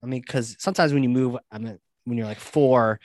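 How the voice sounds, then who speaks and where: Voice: medium pitch, fairly quick, darkish tone. A host asking a guest eager questions in a casual interview. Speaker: podcast host.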